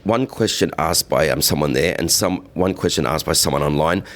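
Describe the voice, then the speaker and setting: A man's voice: a bass voice, fairly quick, a bit bright. A man speaking as an interviewed guest, casual and earnest, in a pub.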